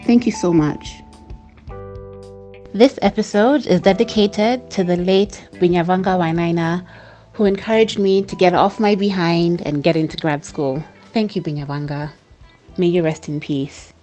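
A woman's voice: fairly low pitch, fairly even intonation, a little slow, dark tone, faint music behind. A woman hosting a podcast, reading scripted credits. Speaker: podcast host and producer.

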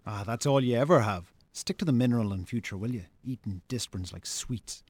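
An actor playing a reproachful, sensible Irish brother in a naturalistic radio drama, speaking in a colloquial, chiding way. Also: male voice, mid-range voice, a little fast, a little mellow, breathy edge, no echo, close to an everyday voice. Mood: reproachful, scornful.

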